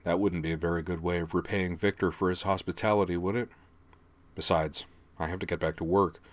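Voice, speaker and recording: A man voicing a gentle, weary father figure who speaks softly and apologetically. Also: deep voice, fairly even intonation, a little fast, dry recording, natural voice. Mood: apologetic. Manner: apologetic, soft, harried.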